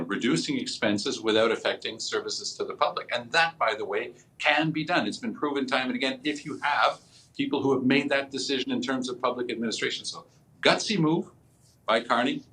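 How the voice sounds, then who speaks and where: A man's voice: medium pitch, a bit bright, low-quality recording. A man giving opinionated political commentary as a panelist, speaking emphatically and with assurance.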